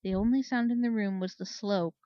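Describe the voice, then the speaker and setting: A woman's voice: medium pitch, fairly even intonation, a little fast, warm tone, no room sound. Woman reading a story, dry muffled recording. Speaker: story reader. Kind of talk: expressive audiobook-style narration of fan fiction.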